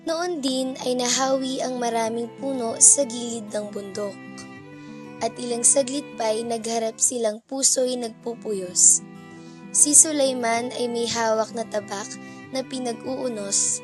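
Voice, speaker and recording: A woman with a fairly high voice narrates an epic poem in a scripted radio drama style. Soft music plays behind her. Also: a little slow. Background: faint music.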